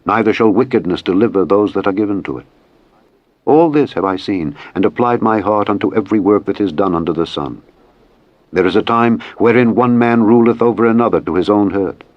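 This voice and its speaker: A muffled, slightly lo-fi recording of a man with a low voice. He narrates scripture in a solemn, measured way, with formal phrasing. The sound is dry and close, free of room reflections. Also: no room sound. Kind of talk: solemn scripted Bible reading.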